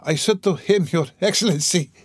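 A dying mother in a classic novel, acted by a male narrator, pitched well up from his own voice. She sounds faint and gasping, bitter and delirious, rambling fretfully and dramatically in a dry recording. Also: unhurried, a little mellow, dry recording, close to an everyday voice. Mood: feverish, rambling.

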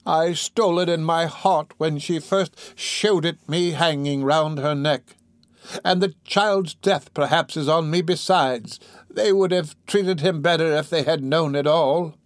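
A man's voice: on the high side, a little slow, no echo, pitched above the performer's own voice, natural voice. A man voicing a dying old pauper woman who rambles in guilt, groaning and agitated, in a storybook reading. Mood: groaning remorse.

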